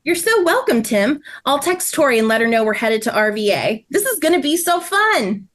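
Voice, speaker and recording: A woman playing a sweet, eager, helpful friend in a children's story table read, with friendly, expressive delivery. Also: high voice, moderate pace.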